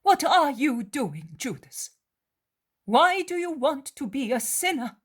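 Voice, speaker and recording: A woman's voice: high voice, very animated, takes it slowly, pitched above the performer's own voice, exaggerated voice. A woman voicing a tender pleading mother. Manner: earnest and pleading.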